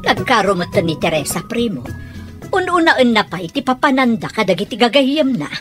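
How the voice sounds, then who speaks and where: A woman playing a concerned middle-aged mother in a radio drama, fretful and expressive about her child. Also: a little high, a little fast, over music. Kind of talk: Ilocano radio drama acting.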